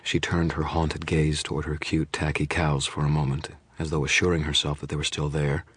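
A man voicing a frightened young mother who pleads and confesses in a rush, then drops to a hush. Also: rumbling low, flat delivery, breathy edge, no room sound, exaggerated voice. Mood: fearful confession. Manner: breathless pleading, rushing then hushed.

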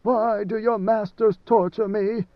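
A man's voice: high-pitched, moderate pace, no echo, voice pushed up, lightly acted. A man voicing an ancient, tormented God Emperor who speaks with anguish and command. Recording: dry, dull lo-fi sound.

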